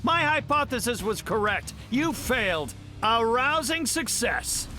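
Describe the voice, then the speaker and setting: An actor playing a brilliant, earnest middle-aged superhero scientist in a video game, with measured, formal, articulate speech. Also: male voice, extremely high pitch, sing-song, bright, forceful tone, faint music behind, heavily acted. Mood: confident.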